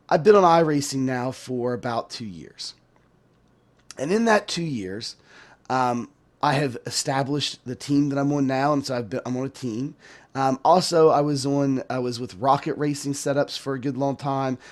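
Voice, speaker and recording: A man talks casually to his viewers as the host of a sim racing channel. His voice is hoarse from a sore throat. He rambles and restarts his sentences, and his pitch sits in the middle range for a man. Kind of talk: casual talk to camera.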